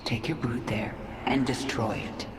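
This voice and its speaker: An actress playing a cold, ruthless queen of an alien swarm in a video game, speaking with deliberate command. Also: rumbling low, fairly even intonation, noisy background. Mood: cold, questioning. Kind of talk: video game cutscene acting. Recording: echoey, under music.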